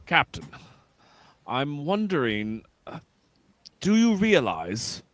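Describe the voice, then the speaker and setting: A man playing an earnest, polite starship captain in an amateur radio play, speaking in a deliberate, halting way. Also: a little high, huge pitch swings, a little hiss or hum, heavily acted. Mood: puzzled, polite.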